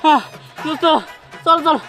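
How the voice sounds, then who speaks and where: A woman acts a pushy, teasing village youngster in a homemade comedy skit. The voice is very high, with clear music running underneath. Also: steady tone, moderate pace, sharp tone. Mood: agitated. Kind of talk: amateur comedy skit acting.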